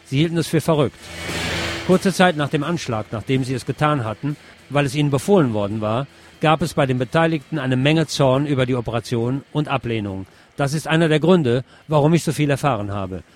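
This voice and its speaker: A man narrating a scripted text, reading it aloud in a measured, report-like way. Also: medium pitch, lively intonation. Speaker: narrator reading a translated interview.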